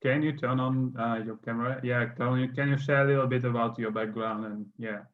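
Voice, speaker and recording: A man's voice: medium pitch, fairly even intonation, brisk. A man moderating an online panel, hesitant and full of fillers. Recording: dull lo-fi video call audio.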